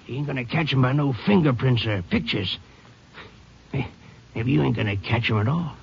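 A man's voice: mid-range voice, normal speed. An actor playing a dazed, rustic night watchman who stammers and drawls in a 1950s radio drama. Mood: grumbling. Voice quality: gravelly, raspy drawl with ungrammatical country speech. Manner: stammers and repeats words when flustered. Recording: dull lo-fi vintage radio recording.

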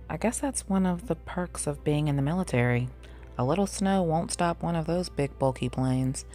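Woman acting a chatty, nagging middle-aged mother, raised pitch. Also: darkish tone, natural voice. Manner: blunt, insistent, motherly. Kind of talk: audiobook character voice.

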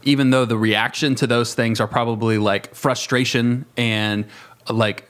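A man in his thirties speaks in a reflective, conversational way at a middling pitch. His phrasing is halting and thoughtful, and he often corrects himself as he goes. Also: steady tone, fairly bright tone. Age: 30s. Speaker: youth ministry worker. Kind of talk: reflective panel discussion.

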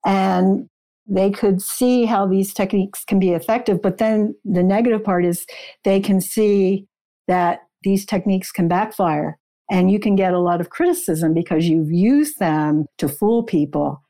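Female podcast guest, soft mellow tone, medium pitch, measured explanatory delivery. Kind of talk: explanatory interview answers.

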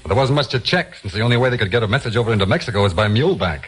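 An actor playing a steady, reassuring American border patrol officer in a 1950s radio drama. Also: male voice, medium pitch, very fast, husky. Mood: matter-of-fact. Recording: old 1950s radio recording.

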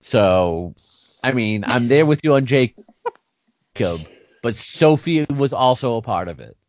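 A man co-hosting a podcast in casual chat, joking, animated, often restarting his sentences. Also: mid-range voice, a little slow, no room sound. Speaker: podcast co-host. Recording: dry, dull lo-fi sound.

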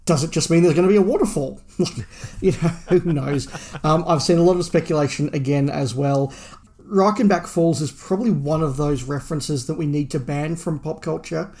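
A man's voice: a little high, moderate pace, darkish tone. A man co-hosting a podcast, talking casually and musingly through fan news. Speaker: podcast co-host. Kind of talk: casual discussion of Doctor Who fandom news.

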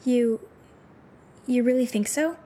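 An actress playing a troubled, disillusioned teenage runaway with superpowers in an audio drama, talking in halting, informal, youthful phrases. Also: female voice, fairly high pitch, dark tone. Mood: scared, unsure.

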